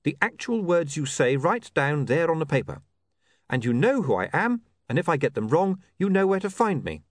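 Man acting an earnest, high-pitched young reporter. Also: very animated, moderate pace, no room sound, clean studio sound. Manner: deferential yet persistent. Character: earnest, polite, stubborn young male reporter.

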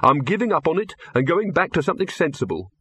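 A man voicing a fussy, wistful male alchemist who speaks in a high, wavering, doubtful tone. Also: sing-song, quick pace, bright, forceful tone, breathy edge, no echo, exaggerated voice.

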